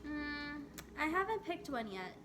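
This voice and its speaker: A woman's voice: high voice, big pitch swings, a little slow, over music, voice pushed up. A woman voicing a shy, earnest young schoolgirl in a storybook, speaking hesitantly at times. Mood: nervous, hesitant.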